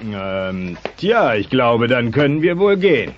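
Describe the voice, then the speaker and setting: A man playing a big, smooth-talking, flattering young dinosaur suitor in a German comedy audio play. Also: medium pitch, measured pace. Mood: hesitant. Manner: suave, flattering. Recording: dull tape transfer with hiss.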